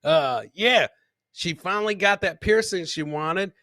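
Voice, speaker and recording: Loud, bright-voiced male comedy podcast host. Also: sits high, measured pace, no echo, clean studio sound. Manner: rowdy, rambling, with shouted bursts. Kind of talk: boisterous casual live banter with listeners.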